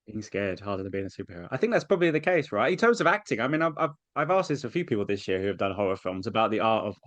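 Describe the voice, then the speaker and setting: Fast-talking low-voiced male interviewer. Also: sing-song, fairly bright tone, dry recording. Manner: rambling questions with restarts. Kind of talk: press junket interview.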